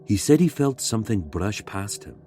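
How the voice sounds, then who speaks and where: A man reading a scripted ghost story in a measured, dramatic way, pausing for suspense. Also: lowish voice, sing-song, mellow, slight background noise. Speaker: guest narrator reading a submitted ghost story.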